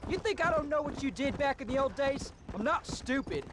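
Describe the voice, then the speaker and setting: Teenage ranch boy hungry for approval, very high youthful voice, American accent, sulky and defiant, game drama, noisy ambience. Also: lively intonation, brisk. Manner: sullen, protesting. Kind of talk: video game cutscene acting.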